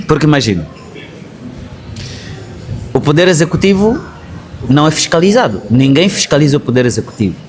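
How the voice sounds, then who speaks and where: A man's voice: a little high, sing-song, normal speed, long pauses. A man from Angola with an Angolan accent, speaking as an interview guest in an explanatory way, often rephrasing himself. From Angola, Bié. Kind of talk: conversational political interview.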